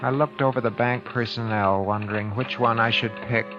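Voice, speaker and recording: An actor playing a henpecked bank auditor, narrating in a weary, brooding, confessional manner in 1940s radio drama style. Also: male voice, mid-range voice, fairly even intonation, brisk, music in the background, natural voice. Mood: brooding, grim. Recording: muffled old radio recording.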